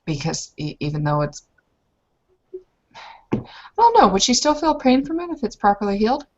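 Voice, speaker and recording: A woman's voice: mid-range voice, sing-song, moderate pace. A woman playing a tabletop roleplaying game, hesitantly describing her character in casual talk, on a muffled recording. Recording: dull, muffled lo-fi sound.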